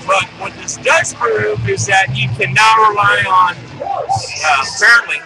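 A high-voiced man gives casual post-game sports commentary as the host of a live webcam stream. He speaks bluntly and colloquially, as a fan would, with music clearly audible behind him. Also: a little slow, poor audio.

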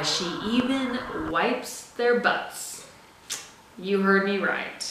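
A young woman chatting casually to the camera, seated at a laptop in a small room, animated and talking with her hands. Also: lowish voice, very echoey, far from the mic, slight background noise. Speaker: farm video host.

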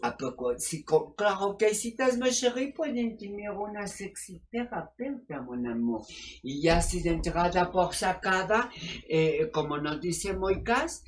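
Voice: mid-range voice, very animated, unhurried, slight background noise. Someone playing a sultry Mexican sex-doctor woman. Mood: flirty. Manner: sultry, teasing, drops French endearments. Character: flirty brazen woman sex-advice doctor. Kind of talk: comedy sketch advice segment.

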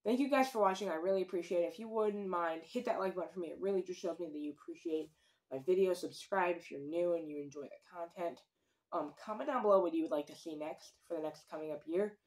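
A young man talks straight into his phone propped up in a small room full of windows. He is earnest and a bit rambling, and fills his pauses with ums and likes. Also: high-pitched, a little mellow, poor audio. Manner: earnest, rambling, many fillers. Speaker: fishing vlogger. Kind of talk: casual to-camera chat. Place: small wooden room with many windows, indoors. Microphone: phone camera at desk distance.

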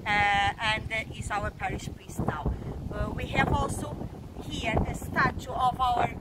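A woman's voice: on the high side, fairly even intonation, measured pace. A woman, giving an outdoor tour and speaking hesitantly with many fillers. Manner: hesitant, full of fillers and restarts. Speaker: tour guide. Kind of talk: guided tour of local sites. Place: outdoors by a parish house in the sun. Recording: loud outdoor wind noise.

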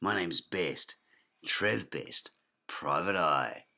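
Deep dry New Zealand detective narrator. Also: male voice, lively intonation, drawn-out words, gravelly, very noisy. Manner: dry, hard-boiled detective delivery. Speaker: narrator and lead comic actor. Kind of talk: deadpan comic noir narration. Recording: music playing behind voice. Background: music.

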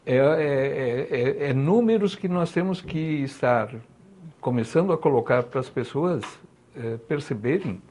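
A man, a guest on a studio talk show, explaining things in a slow, deliberate way. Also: medium pitch, lively intonation, darkish tone. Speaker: guest, charity president. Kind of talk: interview answers. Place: TV studio set, indoors.

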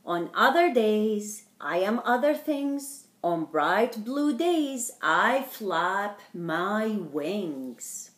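A middle-aged woman reading a picture book aloud to young children in a theatrical, deliberate way, as a storytime host. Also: mid-range voice, big pitch swings. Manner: theatrical, slow and deliberate, addressing small children. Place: indoor wood-panelled living room. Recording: roomy, echoing home recording.

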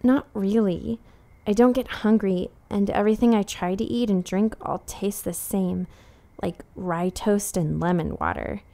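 A woman's voice: mid-range voice, a little slow, creaky, mellow. A woman playing a blunt, determined young undead half-vampire in an audio drama, casual and sardonic. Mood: weary, frank. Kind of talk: naturalistic audio drama acting.

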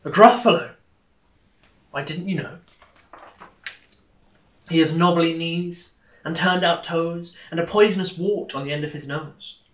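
A man's voice: a little high, unhurried, pauses often, roomy sound, close to an everyday voice, poor audio. A man voicing a small, clever, polite but boastful mouse in a storybook. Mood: politely confident.

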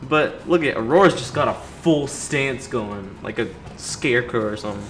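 A man's voice: mid-range voice, animated, moderate pace, fairly bright tone. A man hosts a gaming video in a casual, off-the-cuff way. His mid-range voice moves around a lot as he gripes sarcastically and impatiently, with game music faintly behind him. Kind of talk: casual let's play commentary.

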